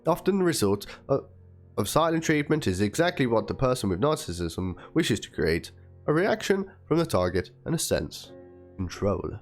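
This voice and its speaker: A reader giving a theatrical dramatic reading of written messages in an aggrieved, pleading manner. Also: medium pitch. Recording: music under the voice.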